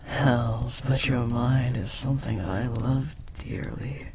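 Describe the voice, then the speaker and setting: A man playing a devoted, lovestruck demon in an intimate audio roleplay, speaking formally, earnestly and with breathy hesitation. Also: mid-range voice, takes it slowly, a little mellow, a little hiss or hum, natural voice. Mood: startled, aroused. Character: devoted, lovestruck powerful demon bound to a sorcerer.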